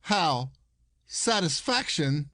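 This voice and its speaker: A man's voice: on the high side, sing-song, drawn-out words, fairly bright tone, no room sound, clean studio sound, full character voice. A man playing an aggrieved, stubborn common husband, indignant and grudging, in a classic comedy audio play.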